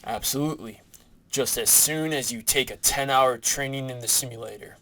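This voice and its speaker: A man's voice: mid-range voice, normal speed, pushed and bright, natural voice. A man playing a stern, brooding adult superhero guardian in an audio drama, speaking tersely and seriously.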